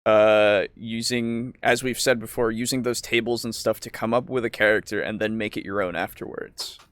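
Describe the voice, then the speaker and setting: Male podcast host, smooth clear voice, dry close sound, informal and rambling. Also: on the low side, steady tone, moderate pace, fairly bright tone, no room sound. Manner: informal, rambling, prone to chuckling. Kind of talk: casual podcast chat and show sign-off.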